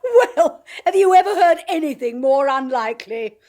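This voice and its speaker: A sharp-tongued, high-voiced former stage ham. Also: female voice, lively intonation, moderate pace. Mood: bitter. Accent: British English. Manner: sharp-tongued, dry, flamboyant. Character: sardonic, theatrical middle-aged woman medium, ex-actress. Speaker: clairvoyant. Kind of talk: 1980s radio drama acting.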